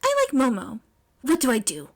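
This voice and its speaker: Teen girl inventor female reader, highish, eager, blunt, earnest and matter-of-fact. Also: huge pitch swings, moderate pace, heavily acted. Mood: earnest, puzzled.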